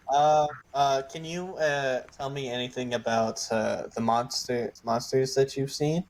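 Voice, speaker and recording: A man plays a tabletop roleplaying game. His voice is medium in pitch. He talks casually and tentatively, improvising his lines as he goes. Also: steady tone, slow pace, pauses often, darkish tone, low-quality recording. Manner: hesitant, tentative.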